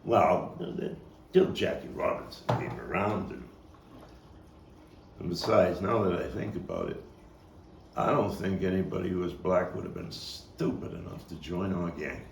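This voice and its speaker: A man performing a theatrical monologue as a coarse, wry, streetwise Brooklyn man looking back on his boyhood gang, telling it with dramatic pauses and emphatic swells. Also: deep voice, animated, gravelly, exaggerated voice. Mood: earnest, gritty. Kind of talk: theatrical monologue, table read. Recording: roomy, distant mic, faint noise.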